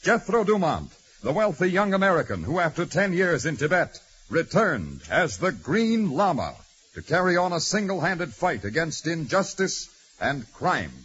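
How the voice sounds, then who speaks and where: A man's voice: on the high side, sing-song, normal speed, a bit bright. A man announcing an adventure serial in a dramatic, scripted 1940s radio style. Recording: dull vintage radio recording.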